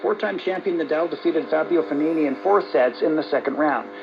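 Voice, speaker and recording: Creaky male news anchor through heavy shortwave static. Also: on the high side, fairly quick. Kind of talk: scripted news reading. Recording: very noisy, dull shortwave radio broadcast.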